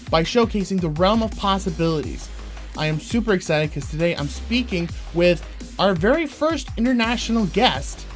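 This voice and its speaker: A Canadian man hosting a podcast, eager and rambling with plenty of fillers. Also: fairly high pitch. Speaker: podcast host. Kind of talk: enthusiastic podcast intro and interview.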